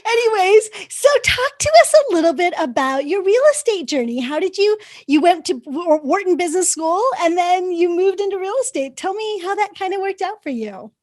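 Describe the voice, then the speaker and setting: High-voiced, enthusiastic woman host. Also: expressive, moderate pace, hardly any pauses, a little mellow, no room sound, studio quality. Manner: enthusiastic, laughs easily. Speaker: podcast host. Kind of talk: reading a guest bio, then casual interview chat.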